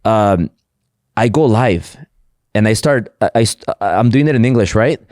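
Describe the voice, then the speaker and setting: Eager low-voiced man, dry sound. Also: lively intonation, measured pace, a little mellow, dry recording. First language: Spanish. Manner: eager, many repeated words and restarts. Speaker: podcast guest, entrepreneur. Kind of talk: casual interview talk.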